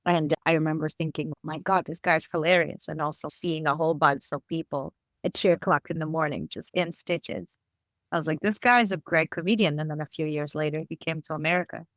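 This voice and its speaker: A woman from Israel interviewing a podcast host, speaking in a thoughtful, measured way. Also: sits low, steady tone, no room sound. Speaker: guest interviewer. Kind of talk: conversational interview.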